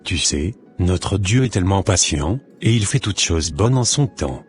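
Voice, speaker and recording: Man voicing a deep-voiced preaching church brother. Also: expressive, soft background music. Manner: slow, sermonizing, gently admonishing. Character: Brother David, a calm preaching church elder.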